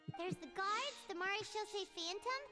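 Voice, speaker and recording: A woman voicing a tiny, chirpy female fairy companion in a video game who chatters eagerly in a squeaky, childlike voice. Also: moderate pace. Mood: puzzled. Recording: game audio over loud music.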